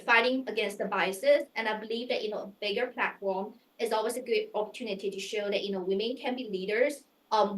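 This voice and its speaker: Brief Young woman from China, earnest contestant over a video call in a roomy space. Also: mid-range voice. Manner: earnest, searching, filler-heavy phrasing. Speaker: pageant contestant. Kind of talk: mock interview answers.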